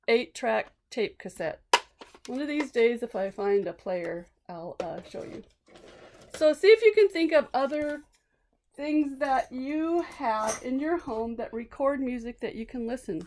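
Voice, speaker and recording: A woman teacher casually explaining things to young children, chatty and asking simple questions. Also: on the high side. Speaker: read-aloud teacher.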